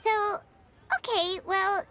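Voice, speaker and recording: A woman voicing a small, childlike, curious fairy companion in a video game, squeaky and naive, asking simple questions. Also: steady tone, slow pace, background noise. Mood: puzzled, curious. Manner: refers to self in third person, simple childish questioning.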